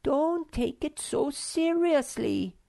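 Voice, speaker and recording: A vain, sweet young creature girl who talks high and breezy, as a woman reader puts her on for a storybook. The voice sits far above the reader's own, recorded dry and close. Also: expressive, takes it slowly, creaky, warm tone, no echo, heavily acted. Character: vain sweet young female Snork Maiden. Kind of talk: audiobook character voice.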